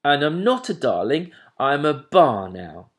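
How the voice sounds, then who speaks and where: A man voicing an excitable young male owlet who talks in a squeaky, insistent way. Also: a little slow. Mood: indignant.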